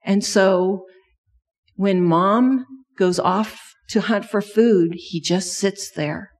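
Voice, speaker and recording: A woman's voice: mid-range voice, slow, a little mellow. A middle-aged woman who grew up in Thailand and speaks Thai natively, telling a children's sermon story and asking the kids many questions.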